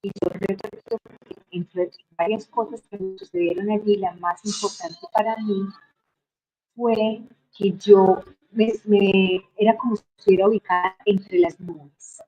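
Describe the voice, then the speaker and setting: A middle-aged woman with a Colombian Spanish accent tells her life story as an interview guest, reflective and unhurried, with many hesitations. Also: medium pitch, steady tone, mellow, highs cut off, faint music behind.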